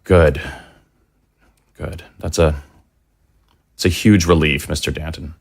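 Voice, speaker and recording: A man acts out a father searching for his son in an audio drama. His voice is low and his delivery is earnest and plain-spoken. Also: steady tone, takes it slowly, gravelly, slightly rough, full character voice. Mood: relieved, grateful.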